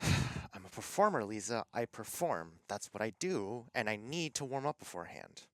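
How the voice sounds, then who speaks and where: A man's voice: on the low side, big pitch swings, moderate pace, no echo. A man playing a self-important, easily affronted radio performer in an audio drama. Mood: huffy. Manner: affected, huffy.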